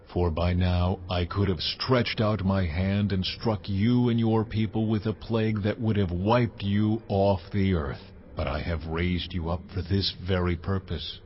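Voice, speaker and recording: An actor playing a solemn, commanding God in a dramatized audio Bible, proclaiming in a stern manner. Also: male voice, on the low side, lightly acted. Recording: dull lo-fi audio with background noise.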